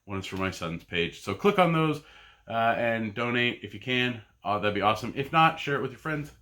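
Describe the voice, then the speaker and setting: Bright-voiced man chatting in a ramble. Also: medium pitch, expressive, a little fast. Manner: rambling, full of ums and uhs and restarts. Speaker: podcast host and comic buyer. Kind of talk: casual solo vlog chat.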